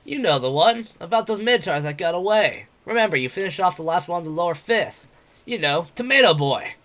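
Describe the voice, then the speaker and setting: Man doing a brash drunk wolf-man brawler, high and loud, sneering. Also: animated, a little fast. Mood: jeering.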